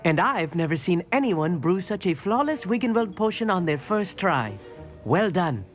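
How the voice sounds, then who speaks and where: A man's voice: high-pitched, very animated, moderate pace, faint music behind. A man acting a polite, bookish teenage wizard in a video game, earnest, chatty and slightly awkward. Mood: friendly, earnest.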